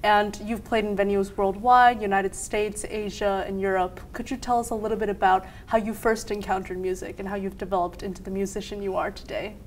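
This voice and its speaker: A woman's voice: medium pitch, little pitch movement, brisk, few pauses, slight background noise. Admiring female talk show host. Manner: admiring, attentive interviewer. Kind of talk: interview questions.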